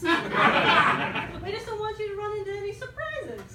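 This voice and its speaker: A woman's voice: very high voice, very animated, a little slow, a loud crowd, heavily acted. A woman playing a wry, teasing police officer in a staged reading. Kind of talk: staged reading before an audience. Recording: distant echoey stage, loud audience noise.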